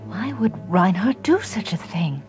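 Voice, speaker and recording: Earnest, alert space scientist. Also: female voice, medium pitch, big pitch swings, measured pace, darkish tone, soft background music. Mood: shocked.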